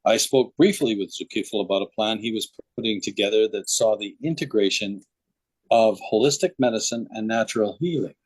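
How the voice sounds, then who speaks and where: A man's voice: on the low side, brisk, slightly rough, poor audio. A man hosting a podcast, introducing the discussion and interviewing a guest. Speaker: podcast host.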